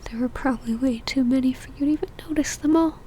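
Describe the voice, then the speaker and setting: A woman playing a possessive, lovesick female vampire in an ASMR roleplay, whispering breathily in a halting, pleading and tender way. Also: sits high, mellow, a little hiss or hum, close to an everyday voice. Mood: regretful, lovesick.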